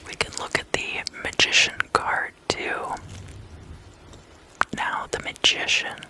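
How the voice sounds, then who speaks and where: A woman whispering in a breathy, unvoiced voice, guiding an ASMR meditation with soft, deliberate phrasing and hypnotically repeated words. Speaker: ASMR host and tarot meditation guide.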